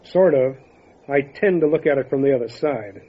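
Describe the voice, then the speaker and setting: A man playing a steady, wary mountain sheriff in an old-time radio horror drama, plain-spoken and grave. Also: mid-range voice, moderate pace, natural voice. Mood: grave warning. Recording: muffled, faintly noisy lo-fi radio recording.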